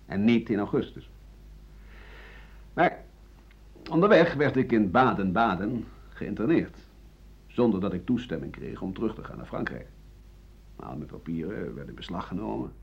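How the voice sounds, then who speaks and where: An aggrieved older doctor, bitter and defiant, in a radio play monologue. His husky voice swings widely between low and heated tones. The recording is a dull old radio broadcast. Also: very animated, normal speed, pauses often, full character voice. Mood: weary, bitter. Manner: aggrieved, emphatic monologue with scornful chuckles. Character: embittered older French doctor-writer accused of treason, defiant.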